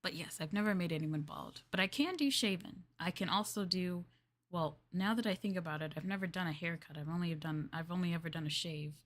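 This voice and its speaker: A woman livestreamer in casual roleplay chat, playing a polite, chatty maid and often dropping into soft, breathy near-whispers. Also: fairly low pitch, a little fast. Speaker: VTuber livestream host.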